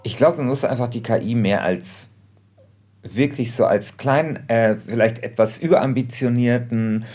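A man's voice: medium pitch, normal speed, darkish tone. A man co-hosting in a casual, spontaneous conversation. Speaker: co-host. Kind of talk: casual chat.

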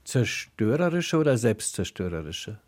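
A man's voice: on the low side, sing-song, normal speed, darkish tone. A man asking precise, probing questions as a radio interviewer.

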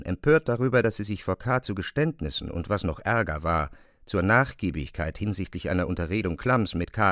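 Low-voiced male literary narrator, closely recorded. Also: no echo, studio quality.

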